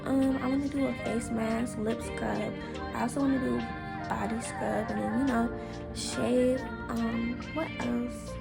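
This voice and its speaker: A teenage girl from Atlanta, chatting casually in a vlog with lots of "like" and "um", her voice a little raspy. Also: on the high side, steady tone, unhurried. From Atlanta, USA. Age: teens. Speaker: vlogger. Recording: loud background music.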